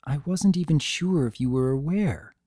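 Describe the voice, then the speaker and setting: A man voicing a regal female winged pony in a fantasy story, measured, polite, calm and cautious. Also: fairly high pitch, dry recording, clean studio sound, voice pushed up, natural voice. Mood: hesitant. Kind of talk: dramatic fan fiction reading.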